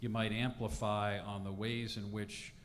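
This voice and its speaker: Male audience questioner, lowish voice, halting phrasing, hall reverb. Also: level tone, fairly bright tone, slight background noise. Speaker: state legislator asking from the audience. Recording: echoey hall.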